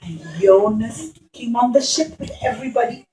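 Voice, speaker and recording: A woman's voice: fairly high pitch, animated, normal speed, dark tone, reverberant, low-quality recording. A woman telling a story to young children as a puppeteer, explaining things simply and with repetition.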